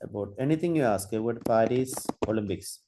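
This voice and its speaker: A man giving an online training lecture, halting, with many fillers and restarts while he demonstrates. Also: on the low side, noisy background. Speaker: guest lecturer.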